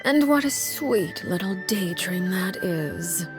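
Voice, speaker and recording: A woman's voice: lowish voice, animated, slow. An actress playing a cool, enigmatic, commanding woman leader in a video game, poised and formal with a sardonic edge. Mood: dry sarcasm. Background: music.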